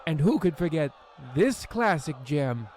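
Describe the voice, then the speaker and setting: An actor playing a pompous, stingy, scheming host in a theatrical parody of old-time radio. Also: male voice, a little high, sing-song, a little slow, over music. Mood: smug scheming. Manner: theatrical, grandiose. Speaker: host of a radio play series.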